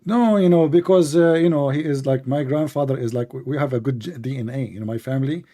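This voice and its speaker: Dark-voiced man, teasing debater. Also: medium pitch, fast. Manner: teasing, sarcastic, questioning in plain broken English. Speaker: debate host. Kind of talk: live online debate, conversational.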